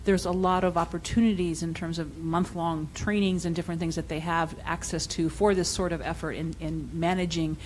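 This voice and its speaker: Woman with lowish voice, faint room noise. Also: fairly even intonation, a little fast, hardly any pauses. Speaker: audience member asking a question. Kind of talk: brief conference comment.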